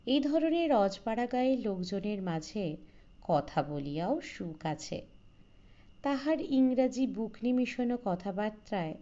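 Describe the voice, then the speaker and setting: A woman reading a literary story as scripted narration, in a measured, expressive way. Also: medium pitch, highs cut off. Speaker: audiobook narrator.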